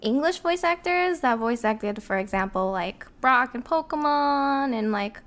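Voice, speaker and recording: A woman with a fairly high voice talks in a casual, conversational way. She is giggly and animated, slipping into little quoted asides as she tells stories. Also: measured pace. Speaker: voice actor, podcast narrator. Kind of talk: casual chat.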